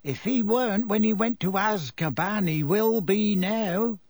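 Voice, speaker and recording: Man voicing a gloomy, slow-spoken bus driver. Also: high-pitched, no echo, studio quality, voice pushed up. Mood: grim. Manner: slow, dark, grunting. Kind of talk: audiobook character voice.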